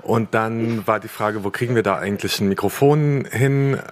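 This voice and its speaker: A man with a low voice tells with some amusement how a difficult recording was set up. He explains the practical problems plainly. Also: normal speed. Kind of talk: telling a story about the recording.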